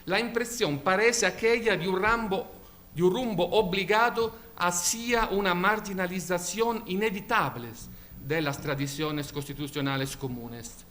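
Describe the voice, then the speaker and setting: A man whose first language is Italian, speaking with an Italian accent in a formal academic conference talk, measured, with occasional slips and self-corrections. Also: sits high, fairly bright tone. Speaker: invited law professor.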